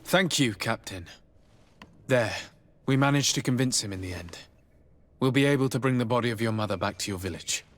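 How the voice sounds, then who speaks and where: A man's voice: medium pitch, brisk, long pauses, lightly acted. An actor playing a courteous, compassionate young nobleman and diplomat in a video game, speaking politely and sincerely. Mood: persuasive. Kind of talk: video game dialogue acting. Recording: game dialogue under prominent music.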